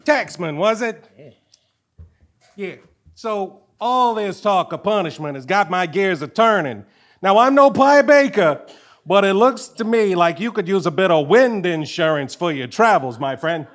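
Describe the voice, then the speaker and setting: A man's voice: high voice, expressive, measured pace, fairly bright tone. A man playing a shady, folksy wheeler-dealer with sly, rambling patter in a comic stage audio play. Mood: sly, needling. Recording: live theatre stage recording.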